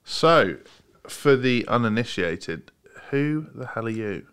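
A man hosting a podcast, relaxed and jokey, asking questions with many fillers. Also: mid-range voice, takes it slowly. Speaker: podcast host. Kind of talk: casual podcast interview.

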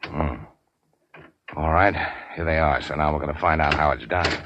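A deep-voiced, cynical tough guy of the rackets, clipped and dryly wry in the manner of 1940s radio crime drama. The sound is a muffled vintage broadcast with light noise. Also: normal speed. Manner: terse, wry, hard-boiled. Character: cool, cynical, tough-talking racketeer working for a crime boss. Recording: dull, noisy 1940s radio recording.

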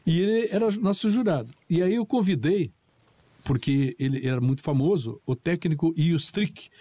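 A man with a raised, mellow voice tells a story in a halting way, repeating little words as he searches for them. The sound is dry and close. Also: on the high side, animated, no echo. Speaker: studio guest. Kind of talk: studio chat.

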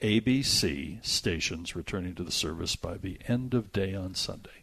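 Low-voiced man hosting a casual movie show. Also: lively intonation, moderate pace, vocal fry, a bit bright, husky. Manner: conversational, reads news items aloud with asides. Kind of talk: casual movie and TV news chat.

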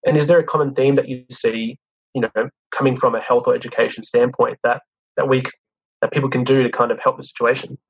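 A man's voice: medium pitch, level tone, fast talker, creaky, husky. A man interviewing a guest, asking friendly, rambling questions full of fillers. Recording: slightly muffled audio.

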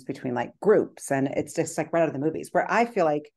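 A woman's voice: low voice, expressive, fairly quick, a little mellow. A woman hosting a podcast, chatting enthusiastically with her guest and saying "like" a lot. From Kazakhstan. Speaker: podcast host. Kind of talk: casual interview chat.

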